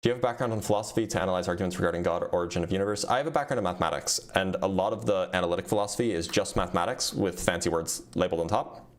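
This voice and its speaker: Low-voiced man fielding livestream questions. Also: very fast. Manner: reads questions aloud, then answers plainly with frequent ums. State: starting to lose his voice. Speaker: physicist answering viewer questions. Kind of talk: casual livestream Q&A.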